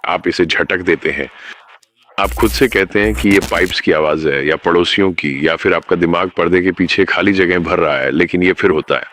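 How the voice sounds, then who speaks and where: A man with a very low, deep voice tells a scripted horror story in an ominous tone. He speaks straight to the listener, warning them step by step. A faint hiss of noise sits under the voice. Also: moderate pace, fairly bright tone. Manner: ominous, addresses the listener directly. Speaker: narrator.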